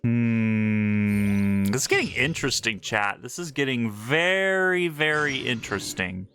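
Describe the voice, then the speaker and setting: A man giving casual livestream commentary over a game. His pitch moves around in a lively, excitable way and he repeats phrases for emphasis. Faint music sits behind his voice. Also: a little slow. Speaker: game streamer.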